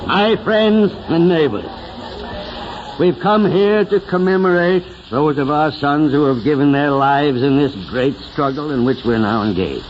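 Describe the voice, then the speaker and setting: Guy doing old mayor from a 40s radio play, slow and solemn, sentimental speechifying, dull hissy old recording. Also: on the high side, close to an everyday voice. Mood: solemn, stirring. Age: older. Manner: oratorical, solemn, drawn-out phrasing. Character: elderly small-town mayor, sentimental, patriotic, grieving orator. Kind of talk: 1940s radio drama acting.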